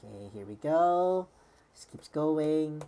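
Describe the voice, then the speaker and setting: Very low-voiced woman streamer, rambling. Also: lively intonation, takes it slowly, dark tone. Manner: rambling and hesitant, heavy on fillers and restarts. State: slightly worn voice. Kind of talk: live-stream reaction commentary.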